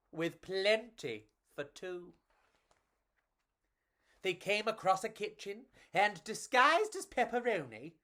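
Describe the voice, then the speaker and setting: A woman reading to children as a storyteller, with a theatrical, playful and measured delivery. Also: medium pitch, fairly bright tone.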